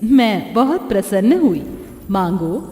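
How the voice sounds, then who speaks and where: A woman voicing a gracious, benevolent mother goddess in an animated moral story, giving blessings in a serene, measured way. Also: mid-range voice, echoey room, in a large hall. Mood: pleased, reassuring.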